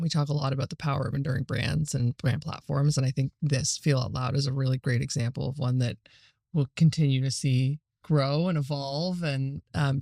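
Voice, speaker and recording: A man's voice: a little high, no echo, clean studio sound. A man guest reflecting earnestly on work he is proud of, often hedging with "I think". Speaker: agency leader, guest. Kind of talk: casual panel conversation.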